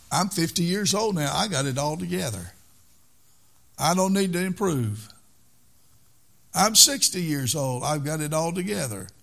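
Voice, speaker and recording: A man's voice: a little high, creaky, a bit bright. An older man preaching a sermon in an earnest way, repeating key phrases for emphasis.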